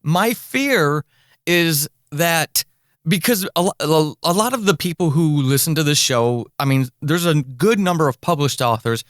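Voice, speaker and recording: A man's voice: fairly high pitch, lively intonation, a little slow, a bit bright, no echo, clean studio sound. A man hosting a conversational interview podcast, thinking aloud and rephrasing as he goes. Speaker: podcast host.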